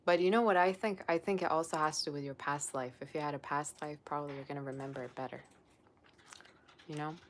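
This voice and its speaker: Low-voiced woman chatting on a livestream. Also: steady tone, fast, slight background noise. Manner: chatty and rambling, with many "like" fillers. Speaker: psychic tarot reader hosting a livestream. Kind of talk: casual live-stream chat, reading viewer comments aloud.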